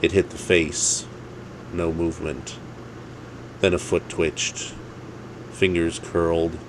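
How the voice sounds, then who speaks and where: Casting: male narrator for dramatic tales, deep register, measured slow delivery. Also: level tone, background noise. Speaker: storyteller, narrator.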